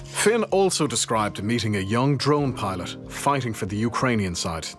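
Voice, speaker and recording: A man narrates a television news report. His voice sits fairly low, and music plays clearly behind his scripted delivery. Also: big pitch swings, moderate pace.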